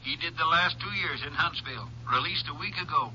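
Sharp, high-voiced sheriff on telephone. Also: male voice, brisk. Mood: businesslike. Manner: folksy, terse. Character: businesslike small-town Texas sheriff on the telephone. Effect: telephone voice filter. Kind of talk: 1950s radio drama acting. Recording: dull old radio recording, faint noise.

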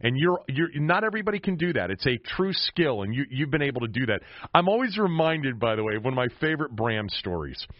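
Male sports radio host, conversational and lively in pitch, with a dry, slightly muffled sound. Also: quick pace, no room sound.

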